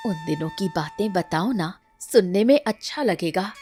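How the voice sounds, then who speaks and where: A woman playing a gentle, affectionate village family woman in a Hindi cartoon story, tender and appreciative. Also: lowish voice, lively intonation, moderate pace, faint music behind, natural voice.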